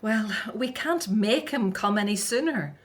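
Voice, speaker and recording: Actress as devoted grown daughter in radio drama, middle-aged, hopeful but doubting. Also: female voice, medium pitch, expressive, a little slow, noisy background, natural voice. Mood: patient.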